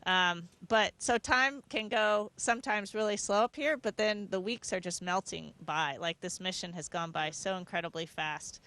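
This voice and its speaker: A woman's voice: mid-range voice, normal speed, few pauses, sharp tone. A woman astronaut speaking from aboard a space station, giving thoughtful, earnest, explanatory answers in a conversational interview.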